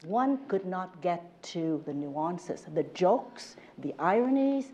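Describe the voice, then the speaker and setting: A woman's voice: lowish voice, very animated, slow, warm tone, slight background noise. A Vietnamese American woman whose first language is Vietnamese, speaking on an academic panel in an earnest, emphatic way. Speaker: panelist, political economist.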